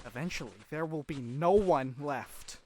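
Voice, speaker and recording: A man's voice: on the high side, a little slow, a little mellow, natural voice. Earnest, idealistic young man, voiced by a man; faint hiss on the recording. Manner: earnest, pleading. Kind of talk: fan audio drama acting.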